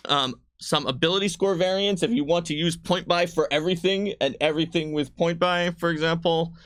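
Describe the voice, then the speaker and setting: A man's voice: fairly high pitch, steady tone, a little fast, a bit bright. A man casually explaining tabletop game rules in frank detail, as a designer on a livestream.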